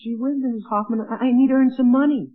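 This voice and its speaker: A man's voice: extremely high pitch, steady tone, quick pace. An actor playing an anxious, evasive teenage boy with a stammering, whiny manner in an old radio sitcom. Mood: pleading, reluctant. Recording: dull, lo-fi vintage radio recording.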